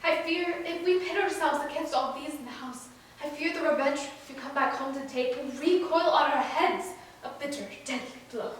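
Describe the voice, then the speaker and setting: A woman's voice: sits high, normal speed, lightly acted. A woman playing an awestruck, fearful young prince in a declamatory stage reading of epic poetry. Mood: anxious, doubtful. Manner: declamatory, earnest, dramatic emphasis. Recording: distant, very echoey room, faint noise.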